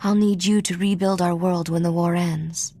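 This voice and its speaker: A woman's voice: lowish voice, fairly even intonation, moderate pace, mellow, a little hiss or hum, natural voice. An actress playing a sly, sarcastic young woman smuggler and royal heir in a video game, wry, teasing and self-assured. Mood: gentle, resolute. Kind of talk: scripted video game dialogue.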